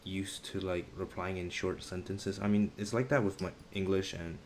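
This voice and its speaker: A young man chatting casually on a live stream, pausing often to search for words and using a lot of slang. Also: low-pitched, little pitch movement, a little hiss or hum.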